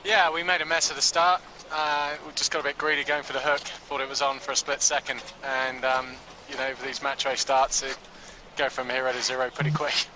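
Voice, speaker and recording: A man sailor giving a brisk, casual post-race interview. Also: mid-range voice, flat delivery, bright, forceful tone, poor audio. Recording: outdoor broadcast clip with noise.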